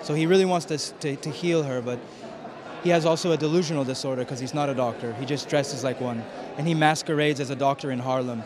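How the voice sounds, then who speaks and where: Man interviewed amid crowd bustle, halting delivery. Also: mid-range voice. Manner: halting, searching for words. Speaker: film director and writer. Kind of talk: red carpet interview. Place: film festival red carpet. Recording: busy crowd and urban noise behind. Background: crowd chatter.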